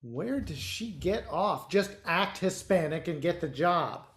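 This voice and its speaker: An actor playing a demanding, entitled theater director, curt and impatient, in a naturalistic play. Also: male voice, a little high, expressive, a little slow. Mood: contemptuous. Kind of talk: naturalistic stage play acting over video call. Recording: echoey room on video call.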